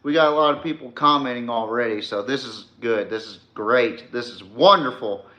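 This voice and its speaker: A man's voice: mid-range voice, moderate pace, sharp tone. A man hosting a live sports podcast, talking casually and emphatically, as an opinionated fan who swears freely. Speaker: podcast host.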